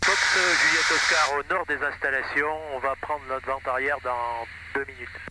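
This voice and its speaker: A man making hesitant, halting pilot calls over an aviation radio. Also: mid-range voice, fairly even intonation. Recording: very noisy hissy aviation radio.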